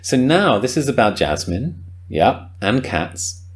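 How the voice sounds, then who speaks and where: A man's voice: mid-range voice, big pitch swings, slow. A man hosting a podcast, explaining grammar ideas in a casual, thoughtful way, with frequent ums and likes and bursts of enthusiasm. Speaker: podcast host and English teacher.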